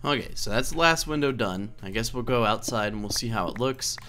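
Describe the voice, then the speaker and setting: A slightly hoarse man giving a casual, rambling let's play commentary, thinking aloud and correcting himself as he goes. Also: mid-range voice, brisk, pushed and bright, soft background music. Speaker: video game let's play host.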